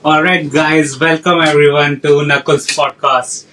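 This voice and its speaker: A man hosting a relaxed podcast interview, slightly high-pitched and very flat in delivery. He hesitates often and fills pauses with "uh". He sounds set back from the microphone. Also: distant mic, faint music behind. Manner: hesitant, many fillers. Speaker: podcast host.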